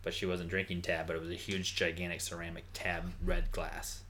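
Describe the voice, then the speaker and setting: A man in his thirties from Iowa, chatting loosely on a podcast. His voice sits very low, yet its tone is bright and forceful. He rambles and pokes fun at himself. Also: monotone, fairly quick. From Iowa, US Midwest. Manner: rambling, self-deprecating joking. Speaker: podcast co-host. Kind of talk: casual chat.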